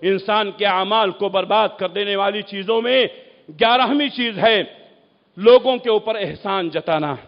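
High-pitched male preacher in echoing hall. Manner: emphatic, exhortatory, with rhetorical questions and repeated phrases. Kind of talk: Urdu Islamic sermon. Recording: muffled, long echoing hall reverb.

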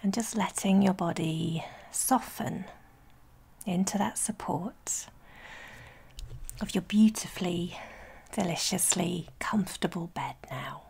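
Very slow, breathy, hushed woman. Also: fairly low pitch. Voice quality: breathy, whispery soft. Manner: soothing, gentle, unhurried with long pauses. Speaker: guided relaxation instructor. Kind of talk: guided meditation for sleep.

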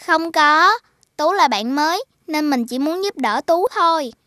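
A woman's voice: high-pitched, expressive, a little slow, sharp tone, no room sound, clean studio sound, exaggerated voice. A woman voicing a friendly young schoolgirl in a children's cartoon, sweet and chatty. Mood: gentle. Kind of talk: cartoon voice acting.

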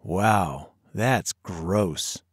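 A man's voice: low-pitched, drawn-out words, slightly rough, dry recording, clean studio sound, pitched below the performer's own voice, heavily acted. A man voicing a wary, dutiful boy in an audiobook, hesitant and protesting. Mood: disgusted. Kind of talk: audiobook character dialogue.

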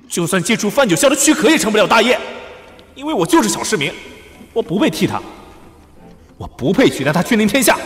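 A man's voice: high-pitched, fairly bright tone. A man playing an anguished young commoner trapped in a tyrant prince's body, stammering and emotional in a costume audio drama. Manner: stammering, emotional, self-doubting. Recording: echoey hall reverb.

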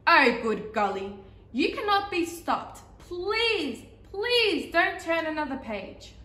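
Female reader as exasperated storybook character, high pitch, emphatic pleading, theatrical, roomy echo. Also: sing-song, bright, forceful tone, heavily acted. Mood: desperate begging. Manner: dramatic, emphatic, drawn-out pleading. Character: pleading, exasperated talking picture-book character, increasingly frantic. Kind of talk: animated children's storybook read-aloud. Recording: echoey, roomy sound.

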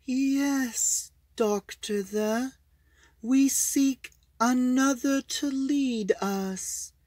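A man voicing a solemn, ceremonious alien lawgiver in an audio drama retelling, with drawn-out, halting formal delivery. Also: extremely high pitch, darkish tone, no echo, voice pushed up, exaggerated voice.

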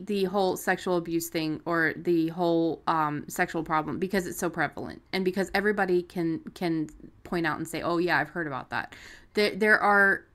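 Female podcast co-host, slightly low voice, unscripted and blunt, conversational with salty language. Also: fairly bright tone. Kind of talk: casual unscripted discussion.

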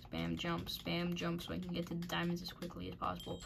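A woman giving casual commentary while playing a game, muttering and mocking herself in frustration, a little raspy at times. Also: lowish voice, monotone, brisk, a little hiss or hum. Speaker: gaming channel host.

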